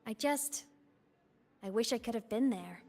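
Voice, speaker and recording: A woman voicing a young ghost girl in a video game, a former student who speaks gently, with a wistful and teasing manner. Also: a little high, animated, unhurried, creaky, faint music behind, exaggerated voice.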